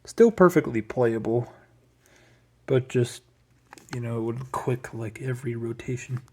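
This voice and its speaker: A man's voice: mid-range voice, unhurried, dark tone, breathy edge. A man giving a casual, unscripted show-and-tell as a collector, rambling along with hesitant asides. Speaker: record collector presenting a collection.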